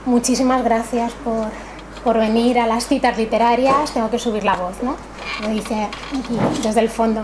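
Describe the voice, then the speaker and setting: A woman, the café's host, thanking her audience in a grateful, conversational and slightly nervous way. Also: a little high. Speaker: café owner and event host. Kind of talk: warm welcome speech at literary event. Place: small cultural café with audience. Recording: noisy room ambience.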